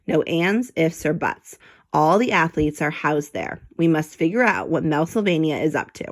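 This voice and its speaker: A clever, self-assured rat detective from a children's storybook, acted out by a woman. He speaks in a grandiose, commanding way, with excitable swings of pitch. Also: moderate pace. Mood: confident, scheming.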